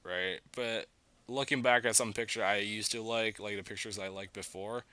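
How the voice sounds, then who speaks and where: A teenage male podcast guest from China talks casually and haltingly. His voice is fairly low, yet bright and clear. He often doubles back on his words as he explains. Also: steady tone. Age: teens, a student. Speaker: podcast guest, student photographer. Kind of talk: casual chat.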